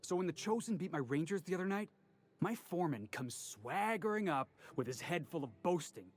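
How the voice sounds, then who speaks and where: An actor playing an eager, cocky young dock worker in a video game, earnest and a bit stiltedly formal. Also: male voice, a little high, expressive, a little fast, no room sound. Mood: indignant, boastful. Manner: earnest, stiltedly formal when reciting, boastful.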